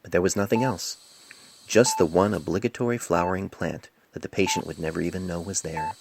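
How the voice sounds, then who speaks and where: A man with a deep voice and frequent vocal fry narrates a story in a measured, literary way. It is an amateur reading on a cell phone mic, with noticeable noise behind the voice. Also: steady tone. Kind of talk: scripted dramatic story narration. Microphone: cell phone microphone.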